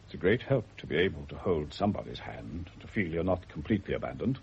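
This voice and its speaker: An actor in a radio drama playing a grave, world-weary family doctor, measured, formal and authoritative. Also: male voice, a bass voice, sing-song, creaky, exaggerated voice. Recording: muffled, noisy old radio recording.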